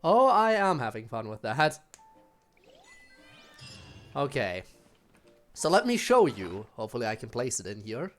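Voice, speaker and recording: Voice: mid-range voice, sing-song, measured pace, long pauses. A streamer chatting casually over gameplay in a wry, informal manner. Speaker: game streamer. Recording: voice over game music.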